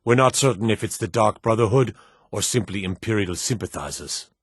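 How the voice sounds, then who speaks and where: An actor playing a gruff, watchful fantasy city guard in a video game, speaking in a stern, curt and authoritative manner. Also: male voice, lowish voice, a little fast, dry recording, clean studio sound. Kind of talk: scripted fantasy game dialogue.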